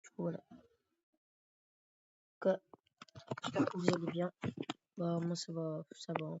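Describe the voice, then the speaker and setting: A man's voice: on the high side, completely flat delivery, unhurried, vocal fry, heavy background noise. A man with a cold and a sore throat, rambling hesitantly through casual commentary over his gameplay. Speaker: gaming YouTuber. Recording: dull lo-fi recording.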